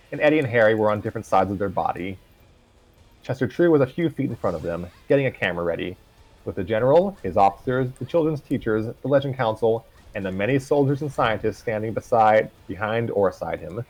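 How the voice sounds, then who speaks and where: A man's voice: lowish voice, lively intonation, darkish tone, poor audio. A man hosting a live stream, reading a fan story aloud and throwing in side comments. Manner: reads narration with quick voices and side comments. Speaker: story reader and streamer host.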